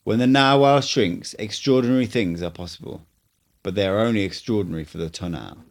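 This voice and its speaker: A man voicing an old male sorcerer and teacher in an audiobook, speaking in a measured, instructive way. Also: on the low side, close to an everyday voice. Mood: explaining. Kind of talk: audiobook dialogue reading.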